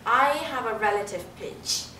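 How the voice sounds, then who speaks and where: A woman's voice: mid-range voice, normal speed. Young Korean-British accented woman, distant and echoey. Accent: British-influenced Korean. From South Korea. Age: young adult. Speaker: double bassist, concert soloist being interviewed. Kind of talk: onstage interview answers to an audience. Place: concert hall stage. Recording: roomy, noisy hall recording from far off.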